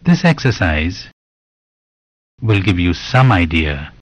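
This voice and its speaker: A man narrating a scripted spiritual meditation in a measured, deliberate, instructive way, pausing between phrases. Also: low-pitched, big pitch swings, slightly rough, dry recording, lo-fi sound.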